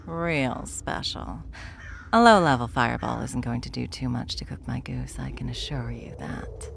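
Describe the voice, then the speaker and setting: A woman playing an ancient, smug, wry stranger in an ASMR fantasy roleplay, with theatrical, taunting delivery and a gravelly voice. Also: rumbling low, a little hiss or hum, exaggerated voice. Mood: dismissive. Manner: sardonic, theatrical, unhurried taunting. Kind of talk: ASMR audio roleplay acting.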